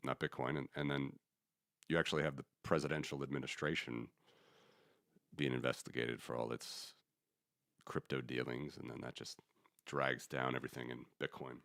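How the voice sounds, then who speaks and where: A man's voice: a bass voice, level tone, normal speed, gravelly, a bit bright, no room sound, studio quality. A man who grew up in the United States, hosting a casual economics podcast and thinking aloud in a rambling, opinionated way with many restarts. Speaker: podcast host.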